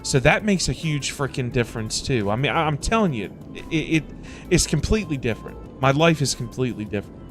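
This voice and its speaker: A man's voice: mid-range voice, expressive, a little fast. A man hosting a livestream, chatting casually in a rambling way and repeating phrases for emphasis. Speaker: livestream host. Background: music playing in the background.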